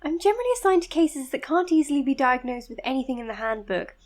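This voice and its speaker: A woman playing an earnest, modest young psychiatrist in an audio drama, speaking in a measured, precise, slightly hesitant way. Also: high voice, lively intonation, darkish tone. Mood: matter-of-fact. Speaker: doctor being interviewed on a podcast. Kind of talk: audio drama acting, natural interview and voice notes.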